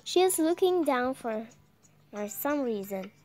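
High-pitched, slow young woman reading haltingly. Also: expressive, a little mellow. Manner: halting, stumbles over words. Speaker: gameplay video creator reading aloud. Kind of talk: reading visual novel text aloud. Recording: music behind the voice.

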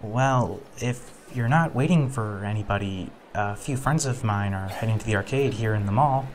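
A friendly, awkward young man, voiced by a performer who stammers as he talks. His middle-pitched voice sits over a faint background hum. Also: normal speed, close to an everyday voice. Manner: stammering, nervous.

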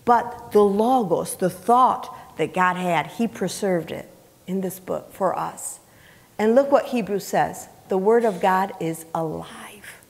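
A middle-aged woman delivers a sermon in a church, her medium-pitched voice ringing with the reverb of the hall. She talks conversationally yet with emphasis, putting questions to her listeners and repeating key phrases. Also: takes it slowly. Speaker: preacher. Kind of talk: sermon, teaching scripture to a congregation. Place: church sanctuary, indoors, on the platform. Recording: reverberant hall, picked up in large room.

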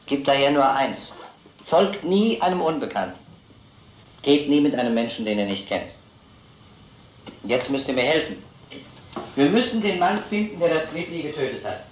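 A man's voice: mid-range voice, big pitch swings, lightly acted. Man acting an earnest police lieutenant, echoey sound. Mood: probing. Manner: deliberate, plain-spoken, addresses children gravely. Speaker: police investigator. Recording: echoey, noisy old film soundtrack.

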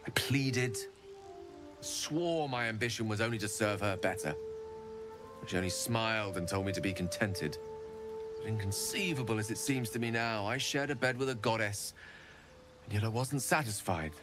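An actor voicing a proud, rueful human wizard prodigy in a video game, speaking in eloquent, measured, theatrical phrases. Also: male voice, lowish voice, over music, close to an everyday voice. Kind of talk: dramatic video game dialogue.

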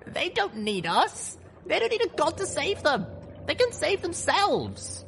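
A man's voice: very high-pitched, huge pitch swings, a little slow, creaky, fairly bright tone, slight background noise, voice pushed up, full character voice. A man voicing a young pony mare heroine, earnest, defiant and idealistic, in a dramatised audiobook. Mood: stern, defiant. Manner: stern, earnest speechmaking.

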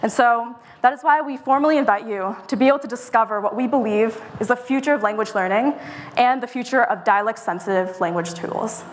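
A young woman presents a tech pitch on stage in a smooth, confident, rehearsed flow. Her voice sits fairly high, and she is picked up by a clip-on mic in a mid-sized event room. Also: a little fast, noisy background. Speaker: startup presenter.

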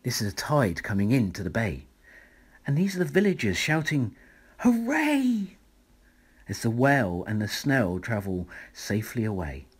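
A man reading a rhyming children's picture book aloud as a bedtime story, in a measured, dramatic way. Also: lowish voice, lively intonation, husky.

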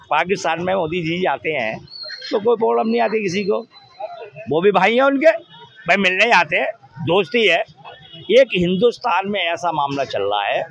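A man's voice: sits high, animated, normal speed, gravelly. A man argues his view in a street interview. He is emphatic and keeps throwing out rhetorical questions. The recording is somewhat dull, taken on a handheld mic. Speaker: local resident interviewed on the street. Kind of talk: street interview, animated opinion. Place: outdoors in a busy market street. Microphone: handheld reporter's microphone.

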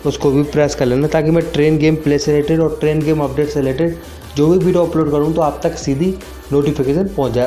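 A man's voice: fairly high pitch, mellow. A young man giving a casual gaming update voice-over, repeating himself and addressing viewers as friends. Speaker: YouTube gaming channel host. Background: background music.

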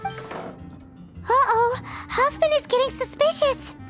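A woman voicing a tiny, excitable fairy girl companion in an anime game, squeaky, childlike and dramatic. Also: slow, over music. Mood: alarmed. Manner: exclaiming, speaks of self in third person.

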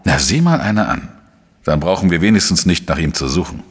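An actor playing a dry, probing investigator who narrates a crime radio drama in a measured way. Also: male voice, low-pitched, big pitch swings, noisy background. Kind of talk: scripted first-person crime narration and dialogue.

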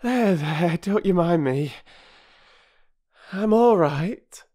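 Man putting on a high-voiced working-class mother, talkative, humble, rambling dialect, storybook reading. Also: big pitch swings, darkish tone. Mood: overcome. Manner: rambling dialect speech, rushing on.

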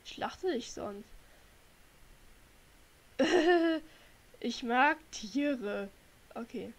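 A young woman with a cold, chatting to her viewers in a relaxed, rambling way while she plays. Her voice sits a little high, and she takes her time with each thought. She talks into a desk mic from home. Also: extremely slow. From Germany. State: has a cold. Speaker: gaming channel host. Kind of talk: casual gameplay commentary. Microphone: desk microphone.

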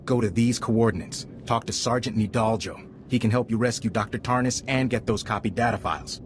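An actor playing a grave government agent in a video game, speaking in a formal, official manner. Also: male voice, fairly low pitch, a little fast, a little hiss or hum, natural voice. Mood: earnest. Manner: serious, official. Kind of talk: video game character acting.

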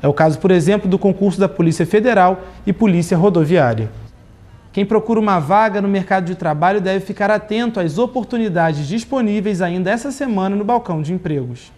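A man in his thirties reading the news as anchor in a studio, with a steady, formal delivery. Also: high-pitched, a little fast. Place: TV studio desk.

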